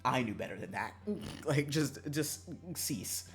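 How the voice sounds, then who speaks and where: A man streaming a visual novel, chatting casually with viewers in an animated, sweary, emphatic way over background music. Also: mid-range voice, takes it slowly.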